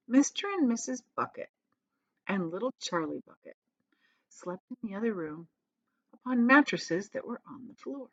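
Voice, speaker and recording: A woman reads a children's story aloud like a teacher, with dramatic emphasis and drawn-out key words. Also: fairly high pitch, fairly bright tone, lo-fi sound.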